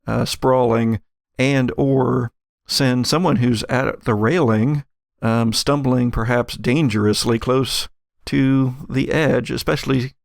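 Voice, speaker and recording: A man narrating as game master of a tabletop roleplaying game, explaining things in a halting, carefully qualified way. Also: medium pitch, steady tone, creaky, dry recording, studio quality.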